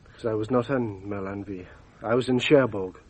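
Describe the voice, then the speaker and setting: An actor playing a proud, touchy young gentleman in a radio drama, speaking in a clipped, defensive way. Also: fairly low pitch, a little fast, husky.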